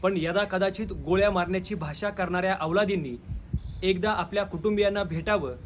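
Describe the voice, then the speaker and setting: A man's voice: sits high, brisk, a little hiss or hum. A man with a Marathi accent from Maharashtra delivers confrontational, rhetorical opinion commentary straight to his viewers. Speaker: video commentator, channel host.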